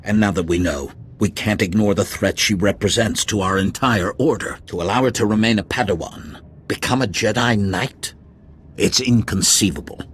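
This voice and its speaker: A man plays a stern council master in a sci-fi game, distrustful and formal. The pitch sits fairly low, with clear ambient noise behind the insistent delivery. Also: normal speed, lightly acted. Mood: stern, disapproving. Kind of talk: video game cutscene acting.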